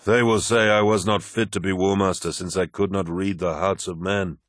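A man doing a dying warlord, rasping and grave. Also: low-pitched, steady tone, moderate pace, no room sound, studio quality, close to an everyday voice. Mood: weary, grave. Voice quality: rasping, wheezing. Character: dying ancient male warlord, rasping, proud.

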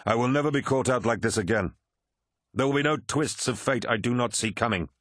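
A man voicing a commanding, wrathful warlord of superhuman warriors in a dramatic audiobook, forceful and imperious. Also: mid-range voice, a little fast, no echo, studio quality, pitched above the performer's own voice, lightly acted. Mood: angry. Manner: forceful, imperious, snapping and roaring. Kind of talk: dramatic audiobook character acting.

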